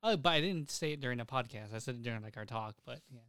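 A young man with a smooth, clean voice hosts a casual podcast. He speaks at a middling pitch in informal, slangy phrases, recorded close and dry. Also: expressive, fast talker, a bit bright, dry recording. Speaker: podcast host.

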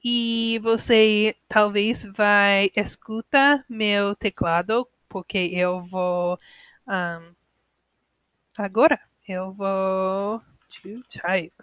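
Slow hesitant young American woman. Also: mid-range voice, steady tone, fairly bright tone, clear, resonant tone, no room sound. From United States. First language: English. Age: 20s. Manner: hesitant learner, frequent pauses and self-corrections. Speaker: podcast host. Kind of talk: casual podcast monologue.

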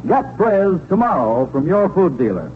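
A man's voice: high voice, very animated, moderate pace, noisy background. An American man reading scripted announcements and ads in the style of a 1940s radio announcer. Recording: dull, lo-fi 1940s radio broadcast.

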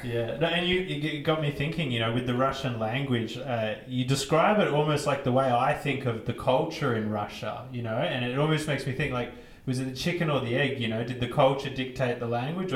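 A man hosting a podcast, asking relaxed, curious questions. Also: mid-range voice, fairly quick, roomy sound, far from the mic. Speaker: podcast host. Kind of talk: casual podcast interview.

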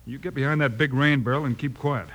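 An actor playing a brave, steady Old West marshal in a radio western, American accent, giving brisk orders. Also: male voice, medium pitch, close to an everyday voice. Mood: commanding. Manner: brisk, commanding, clipped orders. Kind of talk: 1950s western radio acting. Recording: faintly noisy old radio recording.

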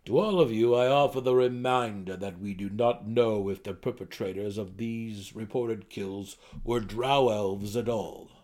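A man voicing a commanding gnome king in a fantasy audiobook, speaking with measured, deliberate authority to calm an anxious council. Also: lowish voice, lightly acted. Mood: firm, cautioning.

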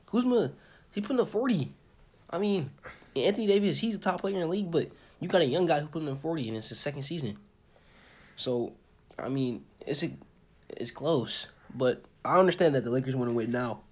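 Husky young American man. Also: on the high side, expressive, darkish tone. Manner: informal, halting, repeats himself. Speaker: podcast co-host. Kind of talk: casual sports chat.

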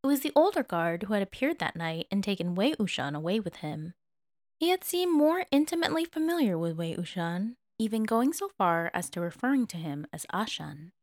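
A woman's voice: medium pitch, big pitch swings, fairly quick, a little mellow, no echo. A woman narrating fan fiction as a dramatic audiobook.

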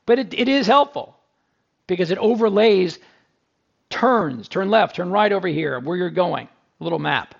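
A man with a gravelly, fry-laden voice pitched a bit high talks through a car review in a loose, enthusiastic way, restarting sentences and speaking straight to his listeners. The recording sounds dull and lo-fi. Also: expressive, moderate pace. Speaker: car review podcast host. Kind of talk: casual spoken car review.

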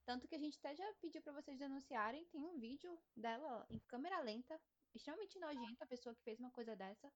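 A woman co-hosting a casual fan podcast, quick and animated as she leads the topics. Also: high voice. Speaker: podcast co-host. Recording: muffled lo-fi audio.

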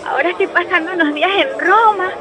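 A woman's voice: extremely high pitch, fast, noisy background. A woman playing an affectionate, frank young adult daughter in a radio soap opera. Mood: excited, frank. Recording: faint crowd background.

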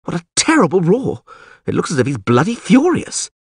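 A man voicing an anxious, excitable male bard in an audiobook, full of demanding, pleading exclamations. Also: a little high, slow pace, breathy edge, dry recording, studio quality, voice pushed up, full character voice. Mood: alarmed. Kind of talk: audiobook character voice.

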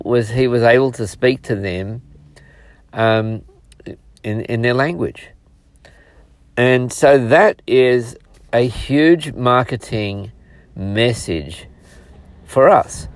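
A middle-aged man hosting a solo podcast, talking reflectively and conversationally, with halting pauses and repeated words as he draws out a lesson. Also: medium pitch, no echo. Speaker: podcast host.

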